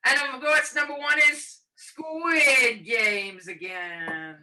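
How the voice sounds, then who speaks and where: A woman's voice: high-pitched, steady tone, slow, sharp tone, recorded from a distance. A woman playfully and theatrically reading a mock news list on a video call. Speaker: presenter of comic news segment.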